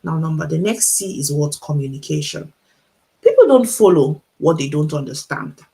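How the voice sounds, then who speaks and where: Nigerian woman with a gravelly low voice. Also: big pitch swings, dark tone. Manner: encouraging, repeats key lines, asks rhetorical questions; speaks deliberately so listeners can follow. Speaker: author and motivational speaker. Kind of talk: motivational livestream talk.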